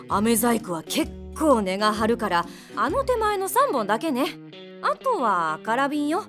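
An actress playing a brash, flashy snack-bar mama who talks bossily and sharp-tongued, in an audio drama with music behind. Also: female voice, fairly high pitch. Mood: offhand.

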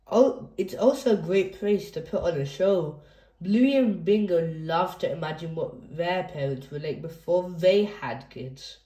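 A woman's voice: lowish voice, a little slow, warm tone, reverberant. A woman hosting a children's read-aloud, reading a picture book expressively for young listeners.

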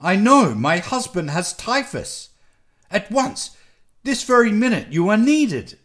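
A man's voice: fairly high pitch, huge pitch swings, slow, voice pushed up, full character voice. A man voicing a desperate, tearful wife who pleads urgently in a dramatic story. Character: desperate tearful young wife begging for help.

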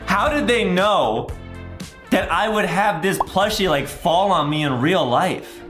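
A man in his twenties hosting excitable gameplay commentary for kids, with exaggerated, theatrical reactions. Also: sits high, roomy sound, faint music behind. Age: 20s. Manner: exaggerated, theatrical reactions with shouts and drawn-out words. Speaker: gaming video host. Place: indoor room with bare walls.

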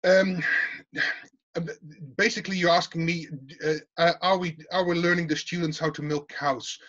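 Middle-aged Dutch man, lecturer type, slightly higher voice, chatty and full of restarts, muffled call audio. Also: level tone, unhurried, fairly bright tone. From Netherlands. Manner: informal, repeats words and restarts often. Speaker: university teaching fellow. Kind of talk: casual interview answers. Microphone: video call. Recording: dull lo-fi video call audio.

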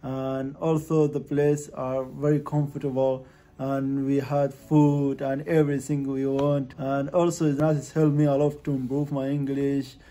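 Mellow, even-toned Sudanese man. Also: medium pitch, unhurried. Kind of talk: on-camera testimonial. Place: plain indoor room.

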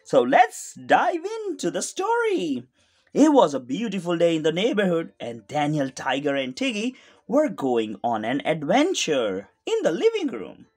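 Female children's read-aloud narrator, slow, very animated, engaging the child directly. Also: deep voice, husky. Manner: expressive, asks the listening child questions. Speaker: storybook reader for children.

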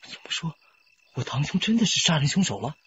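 A jumpy young villager. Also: male voice, on the high side, big pitch swings, fairly bright tone, husky, full character voice. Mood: nervous. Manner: quick, anxious questioning. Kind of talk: radio drama acting. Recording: dull lo-fi radio play audio.

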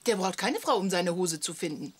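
An actress playing a teasing, self-assured wife in a comic radio play, dry and assertive. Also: female voice, fairly low pitch, lively intonation, brisk, lightly acted. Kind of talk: radio play comedy dialogue. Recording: loud background noise.

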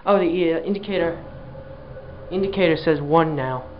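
A man's voice: a little high, moderate pace. A man filming an elevator ride, giving dry, exasperated running commentary into a handheld camera inside a small elevator car. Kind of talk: casual handheld narration. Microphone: handheld camera mic. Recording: dull lo-fi camera audio, faint hum.

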